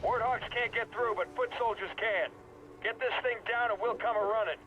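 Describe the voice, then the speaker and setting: An actor playing a gung-ho, gritty army sergeant in a military sci-fi game, with clipped, brash delivery. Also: male voice, very high voice, fairly even intonation, normal speed, muffled recording, a little hiss or hum. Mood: determined. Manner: clipped, brash battlefield barks.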